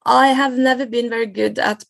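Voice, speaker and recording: Norwegian lady in her middle years, bit of a rasp in her voice, talks slow and halting, mic is close and dead. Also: a little high, fairly even intonation, a bit bright, no room sound. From Norway. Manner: thoughtful, hesitant with restarts, laughs often. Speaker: guest, lecturer on Norse myth. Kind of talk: casual interview talk.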